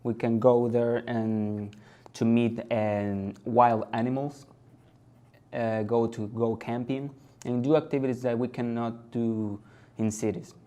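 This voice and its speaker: An eighteen-year-old man from Venezuela, speaking haltingly as he searches for words during an English exam. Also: medium pitch, mellow. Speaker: test candidate. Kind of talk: exam conversation.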